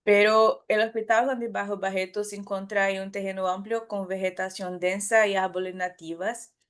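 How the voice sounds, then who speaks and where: A woman presenting at an academic conference, her speech carrying a Brazilian Portuguese accent from her native tongue. She speaks carefully at a medium pitch, often stopping to restart or correct a word before moving on. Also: level tone, normal speed. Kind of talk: conference talk.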